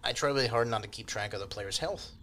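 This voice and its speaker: Low-voiced man running a tabletop game. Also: fast, bright, forceful tone, a little hiss or hum. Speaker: game master of a tabletop role-playing podcast. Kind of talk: casual improvised game narration and rulings.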